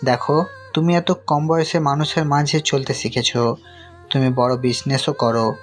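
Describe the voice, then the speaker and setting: A man's voice: medium pitch, flat delivery, normal speed, close to an everyday voice. A man acting a sceptical, reproving middle-aged father who guards family honour in an audio story. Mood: suspicious, reproving. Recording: music under the voice.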